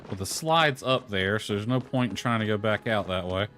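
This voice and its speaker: A man's voice: fairly low pitch, moderate pace, fairly bright tone, a little hiss or hum. A man giving informal gameplay commentary as a streamer, muttering asides to himself as he plays. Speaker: gaming streamer. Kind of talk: casual gameplay commentary, reading game dialogue aloud. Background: music.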